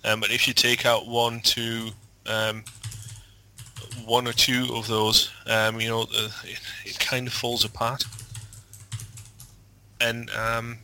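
A man's voice: mid-range voice, monotone, slow pace, long pauses, pushed and bright, a little hiss or hum, low-quality recording. A man on a football podcast panel who talks hesitantly, trailing off with ums and restarts. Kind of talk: casual football chat.

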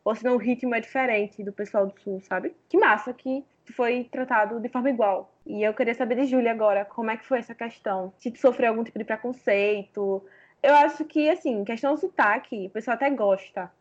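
A woman's voice: a little high, brisk. Northeastern Brazilian woman asking questions, lo-fi. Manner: chatty, informal, asks follow-up questions. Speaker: interviewer. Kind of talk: casual interview questions.

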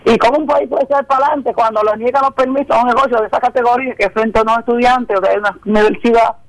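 A woman's voice: a little high, steady tone, very fast, poor audio. A middle-aged woman phoning a radio show, speaking spontaneously to make a complaint.

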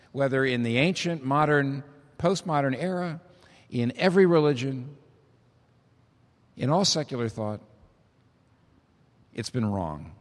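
A hoarse man preaching a sermon as pastor, talking conversationally with emphatic build-ups. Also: mid-range voice. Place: church. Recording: long reverb of a large hall.

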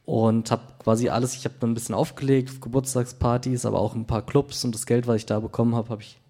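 A man, hoarse from a cold, answering questions as a guest DJ in a casual chat. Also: mid-range voice, little pitch movement, fast talker, dark tone.

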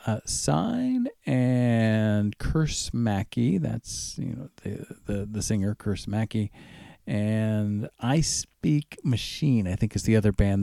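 A man presents a music radio show in a casual, chatty style, his pitch moving freely up and down. The voice is dark and relaxed with a gravelly fry, picked up close on a dry microphone. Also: no room sound.

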